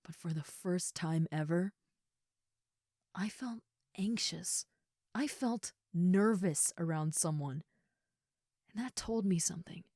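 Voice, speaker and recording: A woman playing an affectionate giant ogre roommate in an intimate comfort roleplay, speaking tenderly and haltingly with soft, breathy asides. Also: on the low side, no echo, studio quality. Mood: tender, hesitant. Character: gentle, affectionate giant female ogre roommate, shyly confessing.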